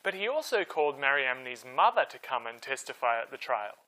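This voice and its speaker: Animated, bright-voiced male storyteller. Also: mid-range voice, fairly quick, rough recording. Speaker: storyteller host. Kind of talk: animated scripted historical storytelling.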